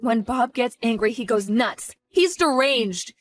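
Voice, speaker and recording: A woman's voice: on the high side, lively intonation, unhurried, soft background music, voice pushed down. A woman voicing a devoted college-age daughter who talks earnestly and grows panicked in a dramatized story. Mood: urgent.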